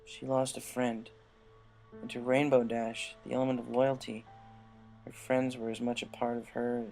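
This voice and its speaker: A man's voice: medium pitch, vocal fry, a little mellow, poor audio. A man reading a scripted story aloud as its narrator.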